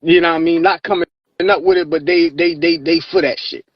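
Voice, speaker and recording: A man's voice: fairly high pitch, fairly even intonation, a little fast, no room sound, highs cut off. A man in his thirties, hosting a casual podcast chat, repeating words as he builds his point. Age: 30s. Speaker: podcast host.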